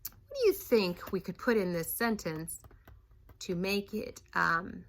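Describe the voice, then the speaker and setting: A woman's voice: on the low side, slow pace, a little hiss or hum. A woman teacher reading a picture book aloud to young children, stopping to ask the class questions. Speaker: first grade teacher.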